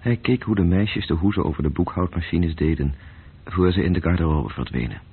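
A man playing a sober, detached storyteller in a radio play, narrating in terse, measured phrases with a husky voice. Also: low-pitched. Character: sober male storyteller, detached observer of city evening. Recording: muffled phone-like old radio recording.

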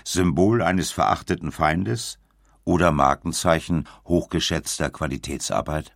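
A man's voice: a bass voice, a little slow, creaky, no echo, clean studio sound. A man narrating a scripted documentary as one of its narrators.

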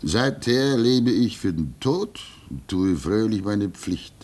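A man acting in a German radio play as a gentle, resigned old hermit, fatherly and reflective, with halting speech that swings between emphasis and hush. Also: on the low side, big pitch swings, full character voice. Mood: serene, devout. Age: older.

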